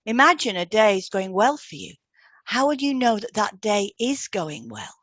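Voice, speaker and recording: A woman's voice: mid-range voice, big pitch swings, normal speed, a bit bright, no echo. A woman co-hosting an educational podcast, reading scripted explanations in an instructive manner. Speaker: podcast co-host and hypnotherapy trainer. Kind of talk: scripted educational podcast dialogue, read aloud.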